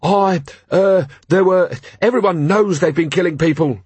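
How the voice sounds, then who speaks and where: A man's voice: high-pitched, moderate pace, darkish tone, husky, dry recording, voice pushed up. A man voicing a nervously defiant male rioter in an audiobook, aggrieved and blustering, faltering as he argues. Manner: indignant, faltering. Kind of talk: audiobook character voice.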